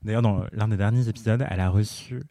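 A man co-hosting a chatty podcast, enthusiastic and quick, often stumbling over fillers as he explains things. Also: lowish voice, steady tone, warm tone, no echo, clean studio sound. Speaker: podcast co-host. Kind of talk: casual chat.

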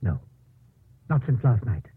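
An actor playing a guarded, authoritative male doctor in a 1950s radio drama, with a raspy voice. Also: medium pitch, sing-song, unhurried, heavily acted. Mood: placating. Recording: muffled phone-like old radio broadcast.

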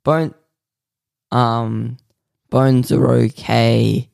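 Slow, gravelly man rambling deadpan nonsense. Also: mid-range voice, dry recording, studio quality. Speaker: podcast co-host. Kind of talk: absurdist improvised banter.

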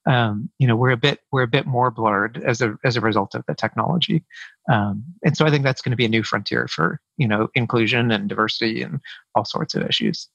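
A man's voice: medium pitch, brisk, creaky, fairly bright tone, slightly rough, no room sound, dull audio. A man, head of sales, answering interview questions in a casual, hesitant way with many fillers and restarts. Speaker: head of sales, podcast guest. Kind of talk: conversational interview.

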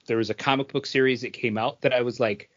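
A man co-hosting a podcast, telling casual nostalgic stories in an animated, slangy way and acting out quotes. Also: mid-range voice, quick pace, muffled recording. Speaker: podcast co-host. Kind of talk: casual chat, nostalgic anecdotes.

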